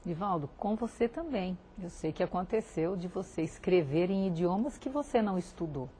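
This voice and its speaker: Woman, interviewer, low mellow voice, attentive, faint background noise. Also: lively intonation, moderate pace. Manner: attentive, brief affirmations. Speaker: interviewer, program host. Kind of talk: interview questions.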